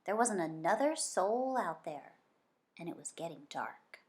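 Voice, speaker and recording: A woman reading a children's story aloud in an expressive, dramatic way. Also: fairly low pitch, measured pace. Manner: dramatic, varying from hushed suspense to emphatic excitement. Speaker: children's book reader.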